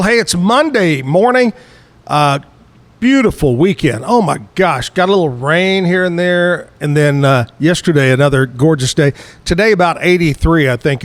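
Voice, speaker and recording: A man hosting a casual live interview at an outdoor bar stage, chatty and jokey. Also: fairly high pitch. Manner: chatty, laughs easily, jokey. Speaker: show host.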